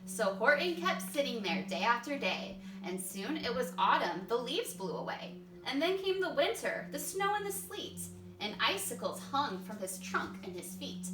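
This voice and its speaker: Female storybook reader, high and lively, heard in an echoey room. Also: normal speed. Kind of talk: expressive children's story read-aloud. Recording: echoey room, distant mic, background noise.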